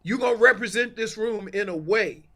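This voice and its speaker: Male sports analyst, very high excitable pitch, bright forceful tone, colloquial and emphatic. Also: normal speed. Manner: emphatic, colloquial, repeats phrases. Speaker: guest sports analyst on a show. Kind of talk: animated sports talk.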